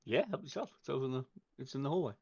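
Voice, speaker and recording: Gravelly man on a dull microphone. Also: mid-range voice, lively intonation, very fast, dark tone. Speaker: player in a tabletop roleplaying stream. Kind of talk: casual narration and table talk.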